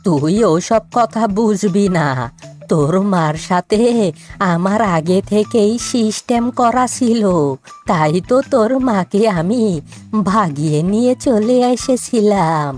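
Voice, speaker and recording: Slow-talking stern cartoon father, voiced by a woman. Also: mid-range voice, animated, a little mellow. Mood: scolding. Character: stern, scolding village father in a fox cartoon. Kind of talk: cartoon dialogue dubbing. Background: music.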